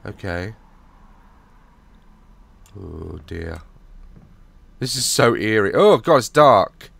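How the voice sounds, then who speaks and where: Hoarse young man, jumpy commentary. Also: on the low side, animated, slow pace, fairly bright tone. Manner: jumpy, swearing, nervous joking. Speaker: gaming YouTuber. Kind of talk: horror game let's play commentary. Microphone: headset mic.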